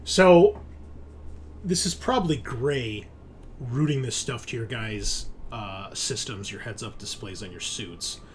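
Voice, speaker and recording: A man narrating a tabletop role-playing game as game master, conversational and halting as he thinks aloud. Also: medium pitch, slight background noise.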